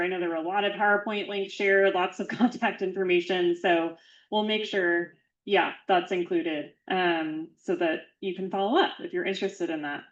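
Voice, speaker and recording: Woman host, mid pitch, friendly organized announcements, roomy muffled sound. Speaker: meeting host. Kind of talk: meeting announcements.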